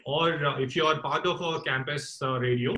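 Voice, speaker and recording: A man hosting an online call-in talk session, speaking in a courteous, formal and halting way. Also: a little high, steady tone, pushed and bright. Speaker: host of a campus radio talk session. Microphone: online group call.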